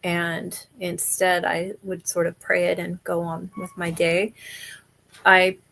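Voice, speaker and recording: A middle-aged woman with a lowish, gravelly voice talks in an earnest, candid way. She leans into her points and often laughs lightly between thoughts. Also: monotone, slow, fairly bright tone, rough recording. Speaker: podcast guest. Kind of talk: conversational interview.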